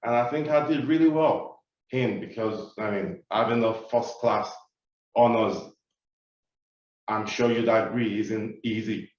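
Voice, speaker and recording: A man in his thirties from Nigeria with a Nigerian accent, Yoruba his first language, giving a measured keynote talk on a webinar with frequent "uh" fillers. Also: on the low side, darkish tone. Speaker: keynote speaker. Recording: echoey, dull sound from far off the mic.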